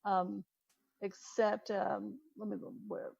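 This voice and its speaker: A woman's voice: mid-range voice, level tone, takes it slowly, creaky, a little mellow. A woman serving as a commission member, speaking and reading aloud in a formal meeting. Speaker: commission member making a motion. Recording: dull lo-fi online meeting audio.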